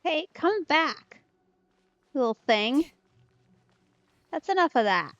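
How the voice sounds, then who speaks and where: Let's play host: female, casual and musing, slow-paced, fairly high voice, dry close sound. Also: very animated, fairly bright tone, dry recording. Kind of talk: casual gameplay commentary. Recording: slightly dull, dry close recording.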